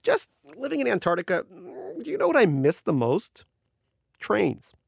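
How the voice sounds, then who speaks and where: Man putting on a breezy, needling scientist, pitched up. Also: wildly swooping pitch, a little slow, creaky, husky, no room sound, heavily acted. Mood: falsely nostalgic. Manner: theatrical, teasing, sing-song. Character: boisterous, needling Russian male scientist, falsely breezy tease.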